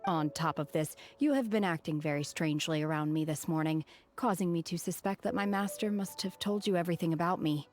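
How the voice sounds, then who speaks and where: Casting: woman to play a composed, inexpressive young game heroine; cool, formal, on the low side. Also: brisk, hardly any pauses, a little mellow, close to an everyday voice. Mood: composed, matter-of-fact. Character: calm, reserved, inexpressive young woman raised by immortals. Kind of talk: scripted video game voice acting. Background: background music.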